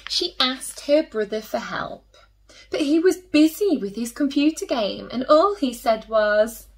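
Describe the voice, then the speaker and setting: A woman reads a children's picture book with a very animated, slightly high voice. She takes her time, stretching important words and slipping into hushed little asides like a teacher at story time. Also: a little slow. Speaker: teacher reading children's stories, host. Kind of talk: expressive picture-book read-aloud for children.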